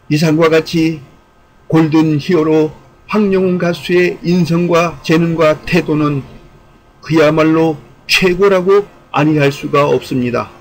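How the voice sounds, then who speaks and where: A man with a somewhat high voice reads a prepared commentary. His delivery is formal and earnest, like an essay read aloud, with an exhorting manner and the occasional repeated phrase. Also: low-quality recording. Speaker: online channel host and commentator.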